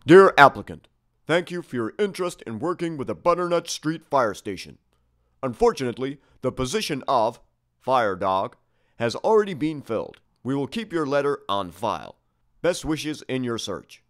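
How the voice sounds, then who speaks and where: A man's voice: medium pitch, sing-song, moderate pace, natural voice. A man voicing a formal, impersonal official in a storybook read-aloud.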